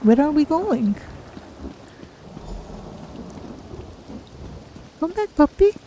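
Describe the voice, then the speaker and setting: A woman's voice: sits high, big pitch swings, normal speed, warm tone, a little hiss or hum. A woman voicing a curious, naive cat-folk adventurer, musing aloud in careful, formal phrases as she plays a game. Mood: curious, excited. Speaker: streamer voicing own game character. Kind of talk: in-character game playthrough narration.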